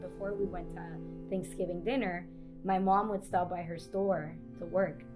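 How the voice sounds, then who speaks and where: A woman's voice: on the low side, fairly even intonation, moderate pace. A woman from Puerto Rico, telling personal stories with animation in an interview. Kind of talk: documentary interview. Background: guitar music.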